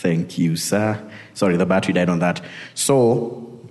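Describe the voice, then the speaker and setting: A man's voice: deep voice, measured pace, a little mellow. A man with a Kenyan accent preaching a conversational sermon, telling personal stories in an informal way. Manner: informal, peppered with tag questions and Swahili asides. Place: large reverberant indoor hall. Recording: echoey hall with long reverb.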